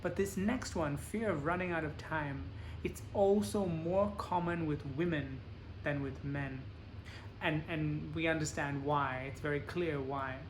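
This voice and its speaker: Man giving a measured lecture. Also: on the high side, fairly even intonation, slight background noise. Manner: plain, measured explaining with examples. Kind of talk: bilingual lecture with interpreter.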